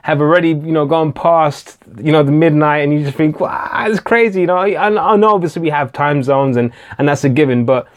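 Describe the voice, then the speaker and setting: A man's voice: fairly high pitch, steady tone, a little fast, hardly any pauses. A man hosting a casual live-stream Q&A, chatting hesitantly with many fillers and restarts while answering viewer comments. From England. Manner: reads viewer comments aloud and answers them. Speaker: tech channel host.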